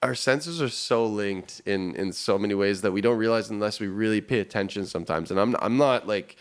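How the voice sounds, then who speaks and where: A man's voice: fairly low pitch, a little fast, smooth. A man in his thirties, a musician guest, chatting casually and reflectively on a podcast. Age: 30s. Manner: laid-back and reflective, swearing.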